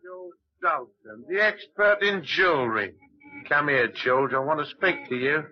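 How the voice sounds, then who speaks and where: An actor playing a menacing, contemptuous razor gangster in an old-time radio drama. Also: male voice, a little high, measured pace, natural voice. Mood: threatening. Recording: dull old radio recording.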